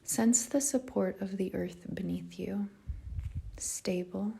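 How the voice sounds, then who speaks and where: Female meditation guide: unhurried and even, soft breathy delivery with a gravelly fry at the edges. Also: medium pitch. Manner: calm, measured, gentle, with short phrases and long pauses. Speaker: yoga nidra meditation guide. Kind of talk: guided meditation, slow soothing narration.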